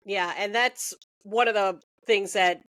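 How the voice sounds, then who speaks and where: A woman's voice: medium pitch, steady tone, unhurried, fairly bright tone. A woman hosting an interview, chatty and rambling, laughing often. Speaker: interview host. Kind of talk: casual interview conversation. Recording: dry close sound.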